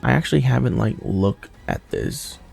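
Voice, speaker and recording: A man's voice: mid-range voice, lively intonation, moderate pace, vocal fry, darkish tone, breathy edge, soft background music. A man giving casual playthrough commentary as a gaming host, thinking aloud and swearing casually as he goes.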